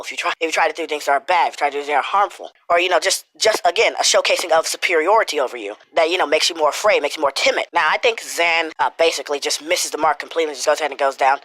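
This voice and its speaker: Fast-talking sick man, stuffy nose. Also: on the high side, sharp tone, dry recording, low-quality recording. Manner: rambling, swears a lot, many fillers. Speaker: YouTuber commentator. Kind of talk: casual commentary rant.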